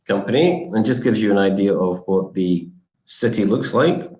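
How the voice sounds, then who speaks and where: A low-voiced man from Scotland walks viewers through a college's student support in a live online session. He talks in a relaxed, informative way, pausing often on "um" and "uh". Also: rough recording. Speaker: college marketing director.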